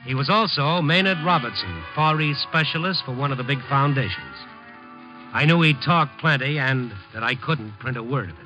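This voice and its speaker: An actor playing a wry, world-weary newspaper reporter in a 1950s radio drama, narrating and talking in a dry, wisecracking way. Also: male voice, mid-range voice, animated, normal speed, music in the background, natural voice. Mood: wry, reflective. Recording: muffled old 1950s radio broadcast.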